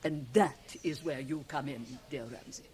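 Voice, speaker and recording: A woman's voice: deep voice, darkish tone. Imperious, coaxing autocrat woman. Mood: businesslike persuasion. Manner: formal, deliberate, coaxing. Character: imperious, shrewd, persuasive female company autocrat. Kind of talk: radio drama acting. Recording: hissy 1980 radio cassette transfer.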